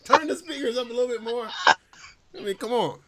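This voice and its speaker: High-voiced joking podcast host, man. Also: moderate pace, a loud crowd. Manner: animated, loud slangy riffing.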